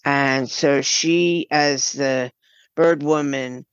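A woman speaking as a writer in a podcast interview, explaining her work haltingly with broken-off sentences. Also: a bass voice, steady tone, clean tone, no room sound, lo-fi sound. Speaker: guest, writer and audio drama producer.

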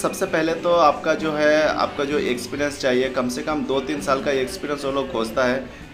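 Man explaining over music in a roomy echo. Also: medium pitch. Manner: repetitive, addressing viewers as friends. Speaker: host of a welding advice video. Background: background music.

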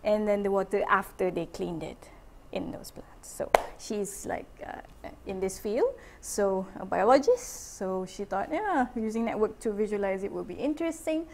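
A woman's voice: mid-range voice, moderate pace, a little mellow, a little hiss or hum. A middle-aged woman with a Malaysian accent giving an informal academic seminar over slides, chatty, with many fillers and restarts. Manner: chatty, many fillers and self-corrections, laughs lightly.

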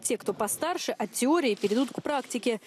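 A young female correspondent voices a scripted television news report. Her voice sits high, and her delivery is brisk and pointed. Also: expressive.

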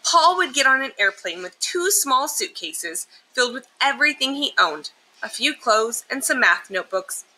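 Bright-voiced woman reading a storybook aloud. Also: high-pitched, moderate pace, poor audio. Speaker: teacher reading a picture book aloud. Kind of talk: expressive children's storybook read-aloud. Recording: clear steady background noise.

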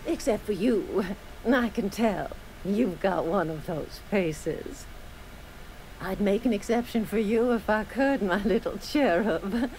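A woman's voice: mid-range voice, slow, darkish tone, slight background noise, lightly acted, low-quality recording. A woman voicing a sugary older auntie in a video game, a dispensary pharmacist who coos and dotes with pet names. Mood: coy, confiding. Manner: cooing, doting, uses pet names. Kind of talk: video game character acting.

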